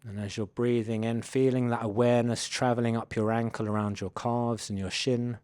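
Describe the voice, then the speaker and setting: A man with a medium-pitched voice guides a meditation through the body. He is gentle and takes his time, phrasing each step as an easy invitation. The sound is dry, with little room around the voice. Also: little pitch movement, clear, resonant tone, no room sound. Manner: gentle, unhurried instructing in soft invitations.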